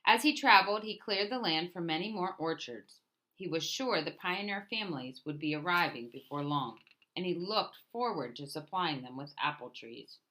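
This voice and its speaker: A woman reading a picture book aloud as a storyteller, in a deliberate manner with emphatic openings to her phrases. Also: lowish voice, lively intonation, sharp tone. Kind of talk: scripted picture book narration.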